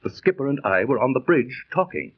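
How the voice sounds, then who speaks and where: An actor in a 1930s radio drama playing an earnest, scientific-minded seafaring storyteller with formal, dramatic diction. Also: male voice, mid-range voice, big pitch swings, a little fast, heavy background noise, close to an everyday voice. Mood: earnest storytelling. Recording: muffled 1930s radio recording.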